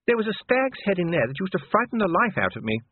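A man voicing a genteel high-voiced lady. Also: big pitch swings, quick pace, slightly rough, no room sound, heavily acted. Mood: fond. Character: aristocratic middle-aged lady, kindly and proper. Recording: tinny, phone-like, dry.

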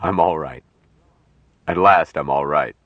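Man voicing a deep, slow, earnest young man. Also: no room sound, dull audio. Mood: earnest, relieved. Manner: slow, deliberate, formal phrasing. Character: earnest young man raised apart from humans, solemnly tender.

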